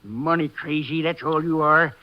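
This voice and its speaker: An actor playing a cagey, penniless old war veteran with a Texan accent in a 1950s radio drama. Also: male voice, fairly high pitch, normal speed, natural voice. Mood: wheedling, cantankerous. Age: older. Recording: muffled old-time radio recording.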